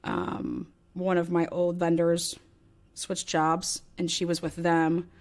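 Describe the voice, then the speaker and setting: Blunt, low-voiced woman livestream host. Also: little pitch movement, slow pace, darkish tone. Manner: blunt, combative, profane. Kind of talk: casual livestream rant to viewers.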